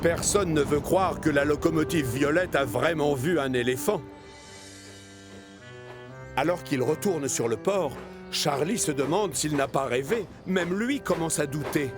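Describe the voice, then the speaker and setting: A man with a slightly high voice tells a children's story as narrator. Clear music plays underneath him. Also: a little slow.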